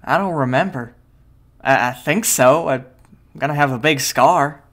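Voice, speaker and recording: A woman's voice: rumbling low, a little slow, slight background noise. A woman voicing a plucky, blunt and stubborn young boy in a comic dub drama.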